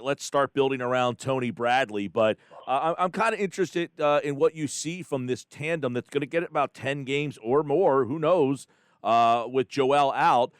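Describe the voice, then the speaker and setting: A man hosting a casual sports radio interview, asking questions in a halting, hesitant way with plenty of ums. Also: medium pitch, clear, resonant tone, dry recording, studio quality.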